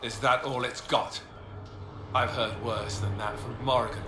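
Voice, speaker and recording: A man playing a hero's wry, argumentative companion in a fantasy game, sardonic and animated. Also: medium pitch, measured pace, lightly acted. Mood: cocky. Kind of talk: fantasy video game voice acting. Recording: echoey, music behind.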